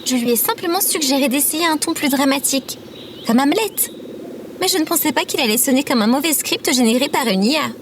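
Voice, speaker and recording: A woman's voice: high-pitched, expressive, brisk, slight background noise. A woman playing a composed, dryly witty quest leader with a matter-of-fact manner in an audio drama.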